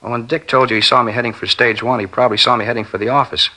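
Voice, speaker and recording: An actor playing an earnest, defensive film studio worker under questioning in a 1950s radio drama. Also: male voice, medium pitch, fairly even intonation, racing, pushed and bright. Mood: anxious explaining. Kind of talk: 1950s radio acting, naturalistic dialogue.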